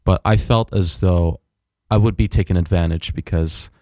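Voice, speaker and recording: A man's voice: low-pitched, normal speed, a little mellow, dry recording, clean studio sound. A young man telling a travel story as a guest in a casual interview, rambling with fillers and restarts. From United States.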